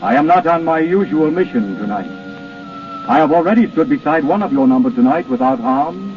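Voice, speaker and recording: A man's voice: on the high side, expressive, faint music behind, close to an everyday voice. Man playing courtly, weary Death, muffled old radio. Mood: grave, reassuring. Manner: grand, deliberate, formal. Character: Death personified, weary, lonely, courtly supernatural visitor. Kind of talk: 1930s radio acting. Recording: muffled 1930s radio broadcast recording.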